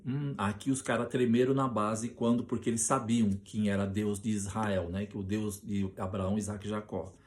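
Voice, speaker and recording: A Brazilian man preaching on a Bible passage, often restarting his sentences and slowing down to read scripture aloud with emphasis. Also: fairly low pitch. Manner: hesitant with many restarts, reads scripture slowly and loudly.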